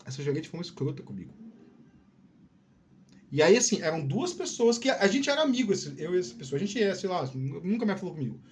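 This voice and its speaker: Higher-pitched man chatting. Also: sing-song, fast talker, slight background noise. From Piauí, Brazil. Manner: informal, digressive, repeats words and checks in often. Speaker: video host. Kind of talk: casual career-advice chat.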